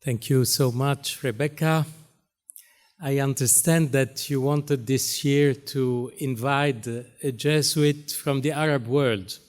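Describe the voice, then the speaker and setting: A man with Arabic as his first language gives a keynote on a university stage. He speaks slowly and haltingly, with frequent "uh" pauses between short phrases. His voice sits at a middle pitch. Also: steady tone. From Syria, raised in Lebanon. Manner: halting, many pauses and fillers. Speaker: Jesuit priest, keynote speaker. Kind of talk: keynote speech.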